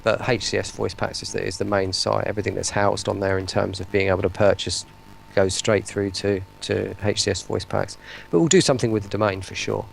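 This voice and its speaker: A British man with a gravelly voice chatting casually as a guest on a livestream, rambling and self-deprecating. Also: fairly low pitch, steady tone, brisk, few pauses, slight background noise, poor audio. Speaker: interview guest, voice pack maker. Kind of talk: casual livestream interview chat.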